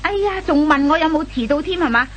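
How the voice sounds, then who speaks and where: High-voiced, scolding young girlfriend. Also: animated, quick pace, dull audio. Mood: exasperated. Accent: Hong Kong Cantonese. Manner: scolding, indignant, emphatic. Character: angry impatient young girlfriend scolding her late boyfriend. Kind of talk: comic radio play acting. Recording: old radio recording with faint noise and hum.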